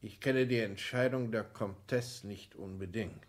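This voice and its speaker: An actor playing a proud, reserved middle-aged count in a 1960s German radio play, speaking formally and with stately diction. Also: male voice, lowish voice, measured pace. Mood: coolly formal. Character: reserved, class-proud aristocratic count, father of a grown daughter.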